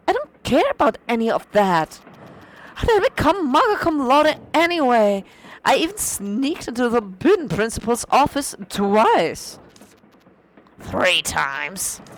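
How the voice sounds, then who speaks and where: A hoarse woman voicing a cheeky, boastful young female witch student. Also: high voice, pitched above the performer's own voice. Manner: bratty, boastful, raised excited delivery.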